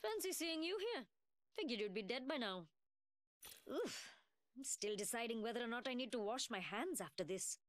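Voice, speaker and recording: Casting: actress to play brash young London woman gunsmith for a shooter game, highish voice, very animated swoops, sarcastic taunts in a working-class British accent. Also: normal speed, no room sound, lightly acted. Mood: cocky. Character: cocky, mocking young woman gunsmith fighter, brash and cheeky. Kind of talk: video game character voice lines.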